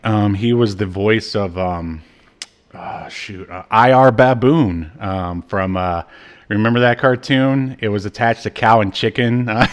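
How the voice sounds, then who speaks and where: A man co-hosting a podcast in casual chat about film and television, often halting as he searches for words. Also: on the low side. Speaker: podcast co-host.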